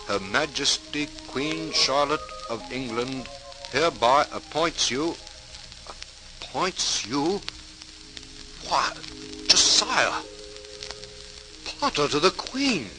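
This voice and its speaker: An actor playing a hearty, practical, admiring brother with emphatic delivery in an old-time radio drama, on a noisy vintage recording. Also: male voice, mid-range voice, lively intonation, slow pace, long pauses, over music. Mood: proud, impressed.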